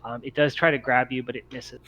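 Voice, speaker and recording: A man's voice: medium pitch, level tone, fast talker, sharp tone, muffled recording. A man speaks in a mid-range voice as he runs a tabletop roleplaying game. He narrates the action and rules on it as game master.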